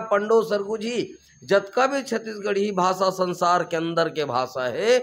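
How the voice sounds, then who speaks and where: A man's voice: sits high, a bit bright. A man with a Chhattisgarhi accent giving fervent answers in an interview, building his points through repeated rhetorical chains and rising emphasis. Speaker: activist leader being interviewed. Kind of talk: impassioned political interview answers.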